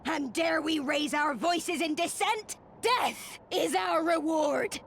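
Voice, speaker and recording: A woman's voice: extremely high pitch, slow, gravelly, a bit bright, slight background noise, heavily acted. A woman playing a fervent, vengeful rebel agitator in a video game, declaiming with theatrical passion. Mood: furious defiance. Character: fervent vengeful female rebel agitator rallying commoners against nobles. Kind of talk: dramatic video game voice acting, impassioned oratory.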